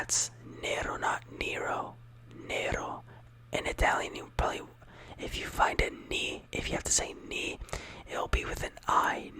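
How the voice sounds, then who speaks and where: Soft slow whispering asmr teacher, rambly and casual, repeats every word a few times. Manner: rambling, casual, lots of fillers; repeats words slowly. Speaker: teacher of Italian words.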